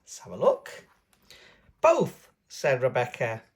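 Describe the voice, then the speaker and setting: A woman narrates a picture book for small children. Her pitch is very lively and her pace slow, and she adds playful little comments in simple phrases. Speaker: storybook reader and channel host. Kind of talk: read-aloud narration with playful asides.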